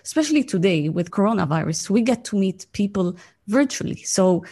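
A woman's voice: mid-range voice, animated, a little fast, warm tone. A woman from Lebanon speaking conversationally as a podcast host and interviewer.

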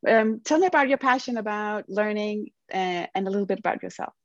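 A woman from Europe who hosts a podcast and interviews her guest with friendly, halting questions. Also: medium pitch, dry recording, lo-fi sound. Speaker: podcast host. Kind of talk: interview hosting.

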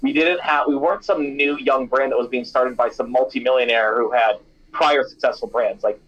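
Young male founder, casual and animated, mid pitch, a little muffled. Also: moderate pace. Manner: chatty and animated, many fillers like "you know" and "like". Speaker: snack company founder, former radio DJ. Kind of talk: casual interview answers.

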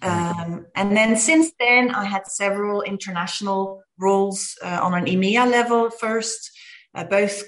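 A woman's voice: medium pitch, poor audio. Belgian-born woman CEO in interview. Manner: measured, with frequent fillers. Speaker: company CEO guest. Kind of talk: interview conversation.

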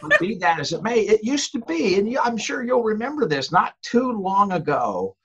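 Older Southern American man, husky, animated guest, slightly higher voice, muffled lo-fi audio. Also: moderate pace. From United States. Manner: animated storyteller, laughs often. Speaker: podcast guest, author. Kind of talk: casual interview talk.

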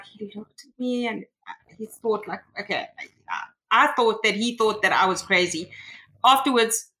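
A woman's voice: medium pitch, sharp tone, poor audio. A woman with a South African accent, a guest on a podcast, telling her story in a chatty way with frequent ums. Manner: chatty, hesitant, many ums. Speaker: guest, tech consultant. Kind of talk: casual interview conversation.

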